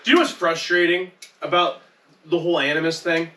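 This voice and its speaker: A man's voice: a little high, level tone, a little fast, sharp tone. A man on a podcast panel, bantering casually with slangy, profane talk. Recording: roomy echo, distant mic.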